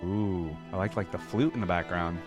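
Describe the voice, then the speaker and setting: A man's voice: deep voice, moderate pace. A man giving casual, enthusiastic reaction commentary in an informal, slangy way, as a video host. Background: music from the videos being watched.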